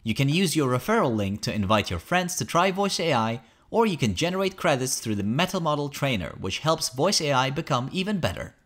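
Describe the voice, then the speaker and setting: A man reading a scripted promotional software tutorial in an upbeat, instructional manner. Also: medium pitch, sing-song, fairly quick, hardly any pauses. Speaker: tutorial narrator.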